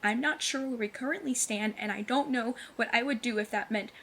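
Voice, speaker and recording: A woman playing a plucky, earnest fifteen-year-old witch's apprentice in an audio drama, with a husky voice and formal, precise wording. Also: on the high side, a little fast, fairly bright tone, a little hiss or hum, natural voice. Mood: guarded, resolute. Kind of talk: scripted audio drama acting.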